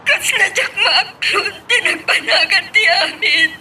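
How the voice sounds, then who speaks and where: A woman's voice: very high voice, unhurried, pushed and bright, a little hiss or hum, full character voice. A woman playing a heartbroken abandoned wife, crying through a melodramatic audio drama. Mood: sobbing, anguished. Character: heartbroken abandoned wife confiding in her father.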